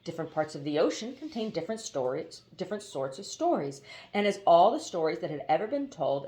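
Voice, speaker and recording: A woman narrating a children's novel aloud as an expressive audiobook reader. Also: lowish voice.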